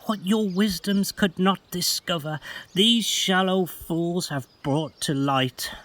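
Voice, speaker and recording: A captured villain turned penitent in a Shakespearean radio play. His fairly high voice moves slowly. The delivery is earnest and declamatory. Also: fairly bright tone. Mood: remorseful. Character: repentant captured villain confessing his deceit. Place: home recording.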